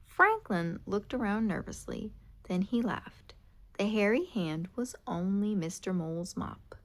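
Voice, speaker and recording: A woman reading a children's picture book aloud in an expressive, animated way. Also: medium pitch, unhurried. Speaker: storybook reader. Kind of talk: children's read-aloud narration.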